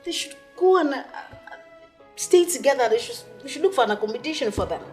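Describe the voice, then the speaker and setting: A woman's voice: high voice, lively intonation, a little fast, soft background music. A woman playing a frustrated, pleading wife in a screen drama. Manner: pleading, complaining. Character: frustrated wife fed up with live-in sisters-in-law. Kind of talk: naturalistic screen drama acting.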